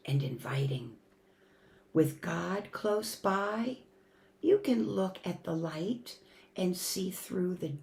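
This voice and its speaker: A woman reading a children's picture book aloud in an expressive, deliberate way, as a church storyteller. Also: fairly low pitch, vocal fry, a little hiss or hum. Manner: expressive, deliberate, shifting between emphatic and hushed. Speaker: church family ministry storyteller.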